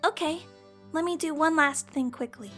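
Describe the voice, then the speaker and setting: A woman's voice: high-pitched, moderate pace, fairly bright tone, soft background music. A woman voicing a sensitive, timid little pony filly, a younger sister in a story. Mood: gentle.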